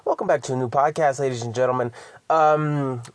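A man's voice: medium pitch, fairly even intonation, a little fast, no echo. Rambling man hosting a podcast, dry sound. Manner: rambling, stammering, swears freely. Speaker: podcast host. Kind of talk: casual solo podcast chat.

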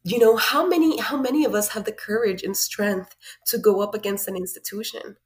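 A woman's voice: mid-range voice, brisk. Woman life coach from Honduras, reflective and encouraging guest. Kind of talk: casual podcast interview.

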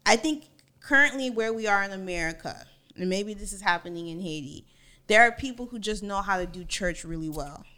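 A woman's voice: medium pitch, animated, brisk, bright, forceful tone. A woman co-hosting a casual conversation about faith. She speaks reflectively and builds her points by listing one item after another. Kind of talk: casual discussion.

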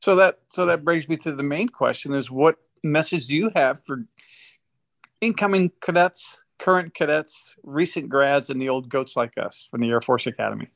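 A man's voice: on the high side, moderate pace, gravelly, fairly bright tone. A man in his sixties interviewing a guest casually, often restarting his questions and laughing along. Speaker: podcast host interviewer.

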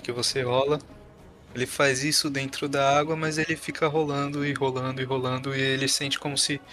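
A man's voice: medium pitch, pushed and bright. A man with a Brazilian accent improvising his game character's actions in an explanatory way, often repeating himself. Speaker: tabletop game player.

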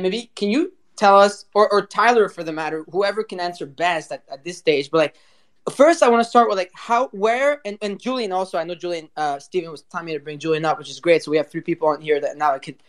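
A man's voice: sits high, fast. A man hosting a casual live voice chat, talking in an informal, rambling way and cutting into his own sentences. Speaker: host.

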